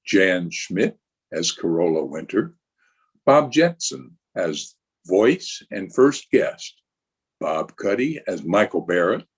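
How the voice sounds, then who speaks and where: Guy doing a husky radio horror host, wry and campy, swoopy and slow. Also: on the low side, heavily acted. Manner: wry, punning, theatrical. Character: wry, ghoulish male radio mystery host. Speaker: announcer and host. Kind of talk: campy old-time radio mystery hosting.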